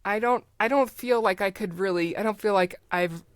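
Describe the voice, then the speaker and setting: A middle-aged woman talks as an interview guest in a casual, conversational way. Her pitch sits in the ordinary range, and the recording is dry and close, with very little room sound. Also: normal speed, smooth, dry recording. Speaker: podcast guest, audio drama writer and producer.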